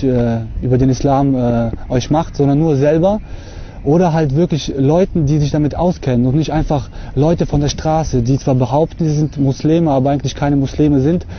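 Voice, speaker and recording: A young man from Russia talking to camera outdoors on a lawn, earnest and hesitant, filling pauses often. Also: medium pitch, dull audio, a little hiss or hum. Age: young adult. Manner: hesitant, many fillers, earnest. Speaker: convert giving a testimony. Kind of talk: unscripted talk to camera.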